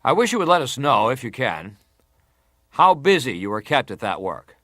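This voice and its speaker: An actor playing an American senator who questions a witness formally, measured and insistent, in a dramatized hearing. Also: male voice, medium pitch, very animated, pushed and bright, dry recording, studio quality. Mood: formal, probing.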